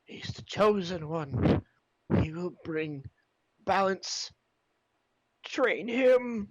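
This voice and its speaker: Guy doing a melodramatic sci-fi movie character, high voice swinging all over, music behind him. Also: animated, drawn-out words, no room sound, dull audio, full character voice. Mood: dying, solemn. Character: dramatic film characters, from anxious officer to solemn master. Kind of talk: amateur comedic script table read.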